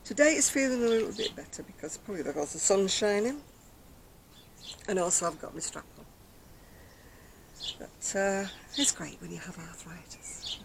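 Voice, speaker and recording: An older woman with a very soft, quiet voice chats to camera as a craft vlogger, rambling and self-mocking, recorded outdoors on a decking. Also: medium pitch, lively intonation, normal speed, creaky, pauses often, slight background noise. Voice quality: very soft, quiet voice after throat surgery. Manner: chatty, rambling, self-mocking, laughs softly. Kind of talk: casual chat to camera. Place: outdoors on a decking by a brick wall. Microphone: camera in front at close range.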